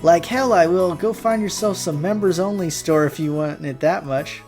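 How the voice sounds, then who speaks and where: A man's voice: high-pitched, moderate pace, darkish tone. A man voicing a brash, foul-mouthed teenage son with exaggerated yelps, reading a visual novel over music. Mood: defiant.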